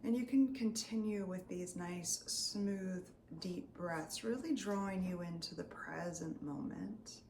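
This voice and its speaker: A woman leading a guided meditation as a Reiki healer, soothing and unscripted, often drifting into breathy near-whispers. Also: fairly low pitch, slow pace, hardly any pauses, darkish tone. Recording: roomy echo, faint background noise.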